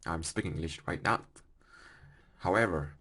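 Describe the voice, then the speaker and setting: A man in his twenties from Vietnam with a Vietnamese accent, hosting a solo podcast in careful, halting English with small grammar slips. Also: deep voice, sharp tone, slightly rough. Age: 20s. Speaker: podcast host.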